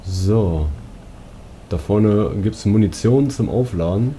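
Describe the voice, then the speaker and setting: A man with a slightly husky voice giving casual commentary as a let's play host. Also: fairly low pitch, takes it slowly, mellow, slight background noise.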